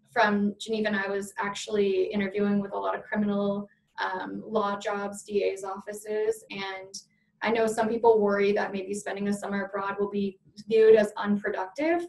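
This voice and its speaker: A woman's voice: medium pitch, gravelly, echoey room, far from the mic, low-quality recording. A young woman in her twenties, a law student guest, chatting enthusiastically and a bit ramblingly about her summer. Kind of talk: casual interview.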